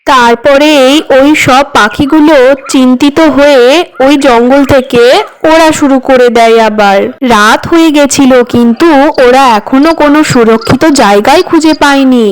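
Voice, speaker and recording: Clear, high-voiced woman storyteller. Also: normal speed, rough recording. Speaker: narrator. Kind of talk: scripted children's story narration.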